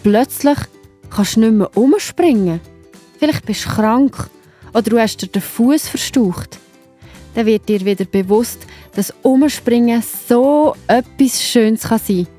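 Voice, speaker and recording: A woman's voice: on the high side, a little slow, warm tone, faint music behind. A woman with a Swiss accent narrating a children's Bible story, explaining simply and speaking directly to young listeners.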